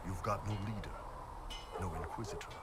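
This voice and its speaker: A man's voice: sits low, fairly quick, very noisy, lightly acted. A man voicing a brash, easygoing horned warrior who leads mercenaries in a video game, speaking in a casual, blunt, confident way. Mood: relaxed, serious. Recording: game audio with clear background music.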